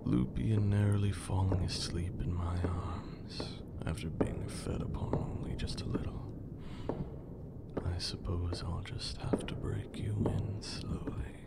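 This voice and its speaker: A man playing an aloof, teasing vampire lord in an ASMR roleplay, speaking in a breathy, creaky whisper with a languid, condescending yet indulgent manner. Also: sits low, fairly even intonation, measured pace. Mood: dry, indulgent.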